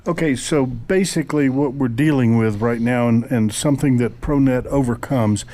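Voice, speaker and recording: A man hosts a talk radio show with a mellow, warm tone, keeping the patter upbeat and promotional. He introduces guests and moves the interview along. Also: mid-range voice, normal speed. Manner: promotional, enthusiastic host patter. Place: studio set in a mall before an audience.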